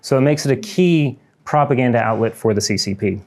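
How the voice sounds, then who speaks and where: A man's voice: mid-range voice, fairly quick, a little mellow. A young man from Ukraine giving a prepared student lecture in a lecture room, earnest and reading from notes with small restarts.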